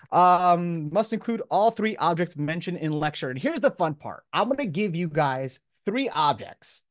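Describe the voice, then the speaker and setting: A man's voice: a little high, no room sound, clean studio sound. A man giving an informal lecture as a professor, talking in a casual, off-the-cuff, conversational way.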